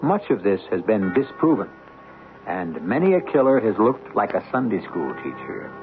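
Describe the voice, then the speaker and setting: A man hosting a vintage radio mystery, narrating a script in a measured, dramatic, ironic manner. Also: lowish voice, very animated. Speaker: host and narrator. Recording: tinny old radio recording. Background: music.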